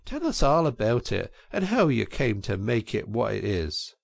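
A man voicing a cheery storybook water rat, bossy and hearty, lively swinging pitch, gravelly edge. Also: moderate pace, no room sound, clean studio sound. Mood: reproachful. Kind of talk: storybook reading.

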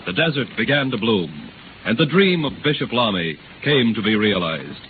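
A man's voice: medium pitch, big pitch swings, normal speed. A man narrating a scripted 1930s radio drama in a grand, declamatory manner. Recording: noisy, tinny 1930s radio recording.

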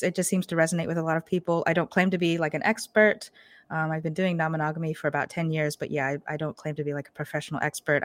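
A young woman from Portland talks through her answers in a loose, chatty way, full of fillers and little laughs. Her voice sits low, and the sound is dry and close, with no room around it. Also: no room sound. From Portland, Oregon, US. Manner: chatty, many ums and likes, laughs easily. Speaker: guest, social media creator. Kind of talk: casual interview answers.

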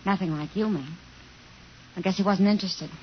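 A woman's voice: medium pitch, moderate pace, lightly acted. A woman playing a jaded, worldly restaurant hostess in a 1950s radio drama, wry and matter-of-fact. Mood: wry, wistful. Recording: dull, faintly noisy 1950s radio recording.

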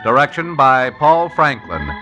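A man's voice: medium pitch, sing-song, unhurried. A male announcer giving the closing credits of a 1950s radio show in a formal, polished delivery. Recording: old radio broadcast over organ music.

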